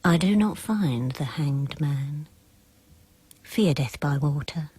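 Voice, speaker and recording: An actress playing a mysterious clairvoyante reading tarot cards in dramatic verse, with a breathy, husky, ominous delivery. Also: female voice, low voice, animated, slow pace, dark tone, no echo, heavily acted. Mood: hushed, mysterious. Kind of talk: dramatic poetry recital.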